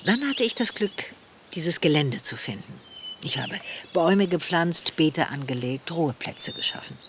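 A woman's voice: sits low, animated, normal speed. A woman playing a generous host of a garden refuge, speaking reflectively and earnestly in a radio drama interview. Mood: earnest, fulfilled. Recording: noisy outdoor ambience with birds and insects.

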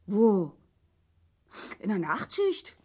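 An actress playing a brash, nagging middle-aged East Berlin housewife in a grotesque radio play, sharp-tongued and bickering. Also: female voice, big pitch swings, very slow, slightly rough, full character voice. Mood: indignant. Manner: sharp-tongued, bickering, swinging from hushed to shrill. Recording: music behind the voice.

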